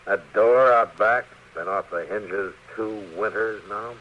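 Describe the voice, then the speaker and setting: An actor playing an Old West marshal in a radio western, dry and understated with easy authority. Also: male voice, slow pace, fairly bright tone. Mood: dry, easygoing authority. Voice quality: deep, rumbling. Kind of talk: 1950s radio western acting. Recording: dull, noisy old radio recording.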